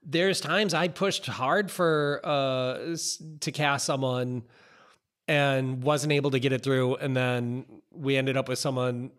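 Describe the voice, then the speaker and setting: A man's voice: mid-range voice, fairly even intonation, takes it slowly, fairly bright tone. An American man in his forties chatting casually on a podcast, acting out quoted exchanges as he tells stories. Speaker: podcast host and film director. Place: indoor office, on a video call. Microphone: close boom-arm podcast mic.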